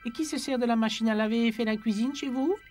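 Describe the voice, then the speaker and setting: Woman as a high-voiced curious young cartoon girl. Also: fast, a little mellow, natural voice. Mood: curious, proud. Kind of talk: dubbed cartoon acting. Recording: music bed under the dialogue.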